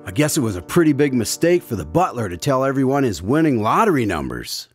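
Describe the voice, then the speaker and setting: A man's voice: medium pitch, very animated, fast talker. A man hosting a radio drama as its announcer, speaking in a scripted style. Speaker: announcer and host. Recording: loud music behind the voice.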